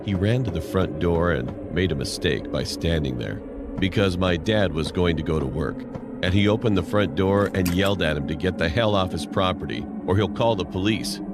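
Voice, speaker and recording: A man's voice: sits low, normal speed. A man reading a scripted first-person horror story as narration. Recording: music playing loudly behind. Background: loud music.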